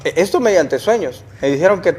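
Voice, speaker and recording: Young man, guest, earnest storyteller, raised pitch. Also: lively intonation, a little fast, a little mellow. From El Salvador. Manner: earnest, explains with rhetorical questions. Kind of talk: casual interview storytelling.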